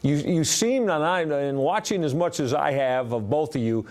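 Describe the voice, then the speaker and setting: An American man hosting a sports interview, asking long, winding questions in a halting, conversational way. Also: on the high side, a little mellow. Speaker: sports show host interviewing a guest.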